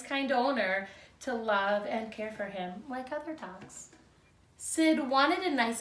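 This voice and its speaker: A woman with a bright, fairly high voice reads a picture book to young children at a slow pace, stepping out to teach as she goes. She is expressive in the way of a classroom teacher. The sound is roomy and a little far from the microphone. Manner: slow, animated storybook delivery with teaching asides. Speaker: second grade teacher. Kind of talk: expressive read-aloud lesson for young children. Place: indoors at home. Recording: roomy echo, distant microphone.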